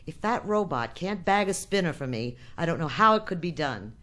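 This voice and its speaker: A woman playing a tough, shrewd, impatient boss with shady deals in a science fiction radio drama, forceful and sardonic. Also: lowish voice, animated, normal speed. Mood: scornful, insistent.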